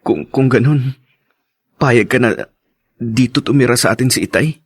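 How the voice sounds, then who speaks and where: An actor playing an earnest, pleading husband in a Filipino radio drama, hesitant and tender. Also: male voice, mid-range voice, normal speed, breathy edge, no echo, close to an everyday voice. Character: earnest, pleading husband, devoted son of an ex-convict.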